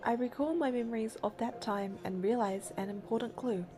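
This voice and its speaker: A woman's voice: fairly high pitch, normal speed, dark tone, faint music behind, close to an everyday voice. A woman voicing a practical, skeptical young heroine in an English-dubbed game, dry and matter-of-fact as she narrates and talks. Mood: measured, curious.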